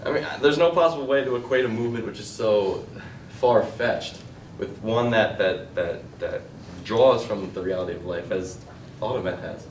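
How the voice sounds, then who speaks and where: An actor plays a self-assured man who talks down to his partner in halting, argumentative stage dialogue. His voice is fairly low, heard from a distance in a strongly echoing room with faint noise. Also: lightly acted. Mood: smug, dismissive. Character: James, condescending, self-assured man who lectures on art. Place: indoor stage, recorded from afar. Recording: echoey stage recording from a distance.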